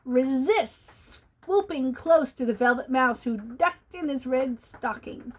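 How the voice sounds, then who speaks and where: A woman's voice: high voice, animated, slow pace. A woman reading a children's picture book aloud in a sing-song way.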